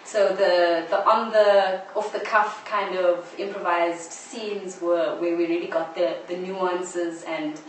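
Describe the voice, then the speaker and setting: A woman's voice: on the low side, flat delivery, takes it slowly, few pauses. A woman explaining her work as a drama producer in an interview. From South Africa. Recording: echoey, distant live stream, faint noise.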